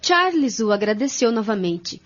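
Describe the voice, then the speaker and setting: A woman's voice: on the high side, sing-song, normal speed. A woman reading scripted narration for a radio drama. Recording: dull lo-fi audio over music.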